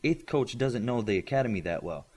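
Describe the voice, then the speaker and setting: A man voicing a smart, assertive, chatty teenage girl in an audiobook, explaining things briskly and matter-of-factly. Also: mid-range voice, lively intonation, a little mellow, husky. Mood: eager, informative.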